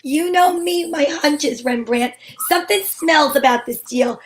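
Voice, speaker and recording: A breezy, flirtatious lady detective acted by a woman with a high voice. She wisecracks archly in the style of a 1940s radio mystery, moving between narration and banter. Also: unhurried. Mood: breezy, suspicious. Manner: arch, wisecracking, endearments. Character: sassy female private eye, flirtatious and breezy.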